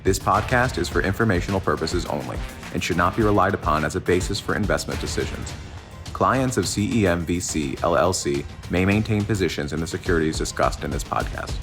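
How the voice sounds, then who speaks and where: A man reading a scripted legal disclaimer in an even, formal manner. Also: low voice, fairly quick. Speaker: disclaimer announcer. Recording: disclaimer read over music.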